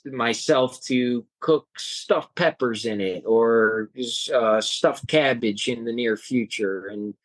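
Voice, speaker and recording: Earnest young man, halting speech. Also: mid-range voice, no echo. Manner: halting, restarts often, earnest. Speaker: guest, home cook and hiker. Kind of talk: casual interview chat.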